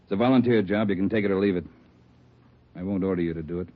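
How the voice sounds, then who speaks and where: A grave, commanding American police chief in vintage radio drama. He talks fast and low, in curt, urgent statements. The sound is thin and narrow, like an old broadcast. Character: brisk, grave male chief of detectives. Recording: thin, band-limited old radio recording.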